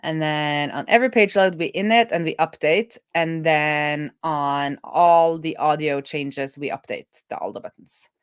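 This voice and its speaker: Low-pitched woman thinking aloud while coding. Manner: thinking aloud, talking through steps to herself. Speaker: web developer live-streaming a coding session.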